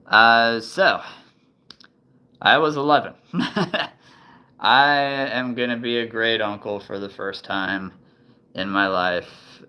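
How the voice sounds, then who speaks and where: Guy in his 30s rambling slowly, lots of ums, bright clear tone. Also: on the low side. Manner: rambling, thinks aloud with many ums and restarts. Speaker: vlogger. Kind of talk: casual chat to camera.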